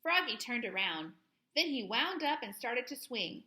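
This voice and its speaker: A woman host reading a children's story aloud in an expressive, playful way, with plenty of sound words. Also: fairly high pitch, a little slow, reverberant.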